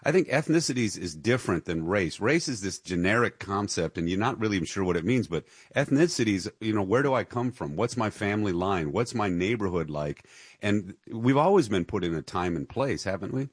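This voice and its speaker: A male interview host with a very low, husky voice. He jumps in conversationally and leans on "you know". The recording is dry, with no room sound. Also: animated, a little fast, dry recording.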